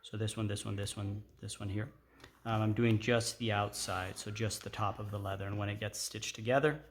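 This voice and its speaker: A middle-aged man giving a casual, unhurried hands-on tutorial in an informal, explanatory way. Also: fairly low pitch, fairly even intonation. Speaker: craft tutorial host. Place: indoor workshop at a workbench. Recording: clear background noise with clicks.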